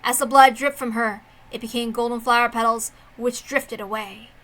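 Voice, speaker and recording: A woman's voice: a little high, steady tone, moderate pace, a bit bright, rough recording. A woman narrating a visual novel as a dramatic story reading. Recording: music behind the voice. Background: background music.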